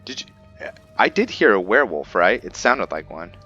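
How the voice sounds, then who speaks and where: A man giving casual commentary on his roleplay playthrough of a game, measured and pausing mid-sentence. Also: lowish voice, big pitch swings, sharp tone, breathy edge. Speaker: gamer narrating a roleplay playthrough. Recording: slightly dull mic, faint game music.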